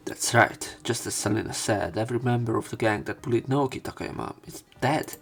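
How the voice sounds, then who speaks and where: A man's voice: mid-range voice, a little fast, creaky, breathy edge, soft background music. A man narrating a visual novel let's play, reading the text aloud and adding his own asides.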